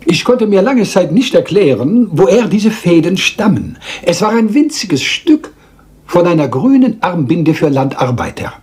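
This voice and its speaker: An actor playing a small, self-assured Belgian detective with a French accent, theatrical and politely lecturing in a radio crime drama. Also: male voice, high-pitched, sing-song, darkish tone. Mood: explaining, confident. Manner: theatrical, deliberate, polite, lecturing, teasing rhetorical questions.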